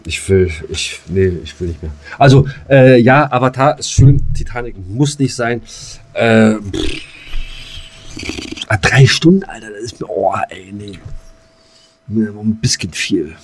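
A man's voice: lowish voice, expressive, a little slow. A man co-hosting a podcast, chatting in a casual, colloquial way with cheeky, teasing jokes. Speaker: podcast co-host. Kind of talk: casual joking banter.

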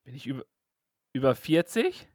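A man's voice: medium pitch, huge pitch swings, normal speed, darkish tone, dry recording, clean studio sound. A man co-hosting a podcast, playing a casual guessing game, teasing and laughing often. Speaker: podcast co-host.

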